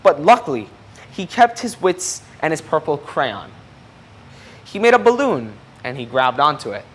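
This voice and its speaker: A reader with a mid-range voice presents a children's picture book. The delivery is expressive and explanatory, turning to young listeners with questions and asides. Some noise sits behind the voice. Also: medium pitch, moderate pace. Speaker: storybook reader.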